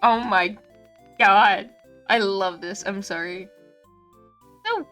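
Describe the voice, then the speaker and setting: A young woman streaming a visual novel and chatting casually, giggly and swearing freely, with a voice gone slightly hoarse. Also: medium pitch, slow pace, a bit bright, soft background music.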